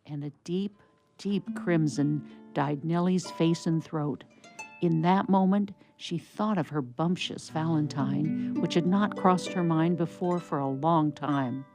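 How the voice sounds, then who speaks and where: A woman narrating a scripted story for radio theatre in a measured storytelling manner. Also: low voice, a little mellow, faint music behind.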